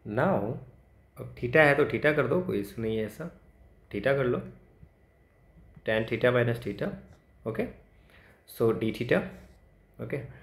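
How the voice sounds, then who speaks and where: A man's voice: medium pitch, gravelly, a bit bright, echoey room. A man tutoring math, solving exam problems aloud, thinking through steps and correcting himself.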